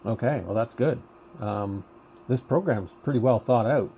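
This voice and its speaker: A man's voice: medium pitch, moderate pace, warm tone, a little hiss or hum. A man chatting casually as an amateur radio operator, musing and thinking aloud in a hesitant way over a radio contact.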